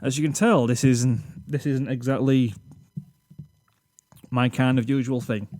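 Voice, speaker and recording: A man's voice: medium pitch, fairly even intonation, a little slow, darkish tone, no echo, studio quality. A British man reading a scripted reflection to his channel's viewers in a rambling, self-deprecating, slightly awkward way, sitting at a desk microphone. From Britain. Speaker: YouTube channel host.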